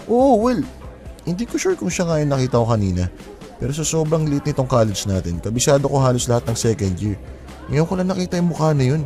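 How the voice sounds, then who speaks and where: A man playing an excitable, gossipy male college best friend in a radio drama, slangy and teasing. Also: medium pitch, fast talker, soft background music. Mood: eager, gossipy. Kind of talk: Filipino radio drama acting.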